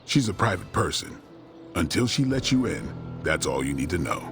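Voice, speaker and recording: A gruff, stoic vampire hunter from a video game, with an extremely low voice that moves in wide swings. He is terse and deadpan, blunt but loyal. Clear music plays underneath. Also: moderate pace. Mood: dry, blunt. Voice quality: very deep. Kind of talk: video game cutscene dialogue.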